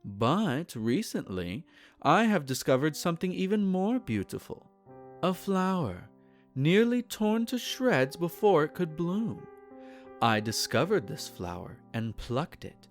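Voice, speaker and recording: A man performs a centuries-style vampire gentleman, possessive and teasing, in an intimate audio roleplay. His formal, silky delivery is slow and rises and falls widely. Gentle guitar music plays underneath. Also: fairly high pitch, very animated. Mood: adoring, poetic. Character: possessive, teasing, courtly male vampire, centenarian yet youthful. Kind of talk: intimate roleplay audio, scripted character acting.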